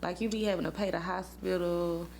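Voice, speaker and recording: A woman's voice: fairly low pitch, fairly even intonation, brisk, darkish tone. Young woman chatting casually over noticeable hum. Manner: informal, playfully teasing. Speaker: podcast host. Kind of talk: casual couple banter and storytelling. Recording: clearly noisy with a hum.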